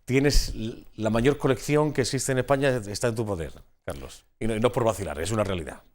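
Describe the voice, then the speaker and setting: A man's voice: on the low side, brisk. A man with a Spanish accent from Spain, hosting a conversational TV interview and often repeating words as he forms his questions. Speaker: TV magazine host interviewing a guest.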